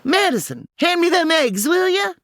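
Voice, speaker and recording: A woman's voice: extremely high pitch, big pitch swings, normal speed, a bit bright, no room sound, studio quality, exaggerated voice. A woman voicing a motherly older Old West landlady in a comedy audio drama, with a homespun drawl and a fussing manner.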